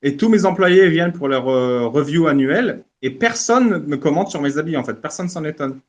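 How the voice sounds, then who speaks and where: A man's voice: on the high side, lively intonation, normal speed. A man hosting a casual live audio discussion, joking, thinking aloud and laughing often. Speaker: host.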